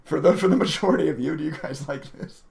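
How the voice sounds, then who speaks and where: A man with a raspy voice chatting casually to viewers as a livestreaming day trader, emotive and talkative. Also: a little high, quick pace, a little mellow. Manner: chatty, emotive, laughs often. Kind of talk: casual live stream commentary to viewers.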